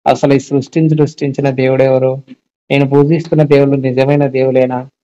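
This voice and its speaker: A man's voice: medium pitch, little pitch movement, a little fast, dark tone. A man talking casually on a phone call about faith, explaining and often asking check-back questions. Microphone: telephone.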